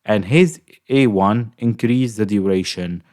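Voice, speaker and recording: A man's voice: on the low side, a little slow, darkish tone. A young man from Egypt with an Egyptian accent, casually talking through a mobile game guide in a rambling, chatty way full of fillers. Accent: Egyptian Arabic. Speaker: gaming YouTuber.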